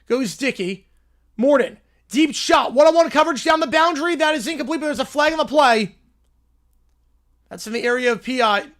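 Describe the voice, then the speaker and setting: A man's voice: very high voice, lively intonation, a little fast, pauses often, fairly bright tone. Young man calling college football live. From Long Island, New York. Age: 20s. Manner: chatty, answers viewer questions between plays. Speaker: livestream host and play-by-play commentator. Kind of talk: casual live play-by-play with viewer chat.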